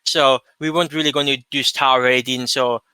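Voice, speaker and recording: Bright, clear-voiced man in casual chat. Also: mid-range voice, steady tone, normal speed, no echo. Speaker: co-host of a Doctor Who discussion show. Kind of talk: casual fan discussion.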